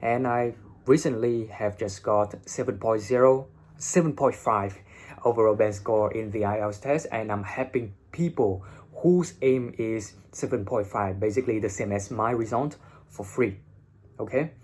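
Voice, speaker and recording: A young man from Vietnam with a Vietnamese accent and a slightly husky voice, giving blunt, informal English-learning advice and swearing freely, recorded on a phone. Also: on the low side, moderate pace, darkish tone. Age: young adult. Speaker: English teacher and video host. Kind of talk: casual advice talk to camera. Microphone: phone, no separate microphone.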